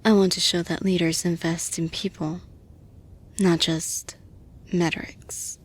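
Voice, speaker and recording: A woman playing a caring, persuasive boss in an intimate audio roleplay, soft-spoken and breathy, often dropping to a whisper, deliberate and reassuring. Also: lowish voice, little pitch movement. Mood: calm, earnest. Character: caring, persuasive female boss offering support to employee.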